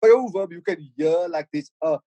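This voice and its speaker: A middle-aged man with a Cape Town South African accent speaks as a podcast guest, his voice sitting a bit high. He thinks aloud, restarting phrases over and over, into a dry close mic. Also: normal speed, dark tone, no echo, highs cut off. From South Africa, Cape Flats in Cape Town. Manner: reflective, with frequent stammered restarts. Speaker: podcast guest, rapper and producer. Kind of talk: casual podcast conversation.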